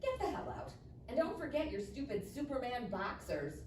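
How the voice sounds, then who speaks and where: High-voiced furious sarcastic wife, played by a woman. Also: very animated, normal speed. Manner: biting, sarcastic, loud. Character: furious, sarcastic suburban wife accusing her husband. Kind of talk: live table read of comedy screenplay. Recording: roomy, distant mic, faint noise.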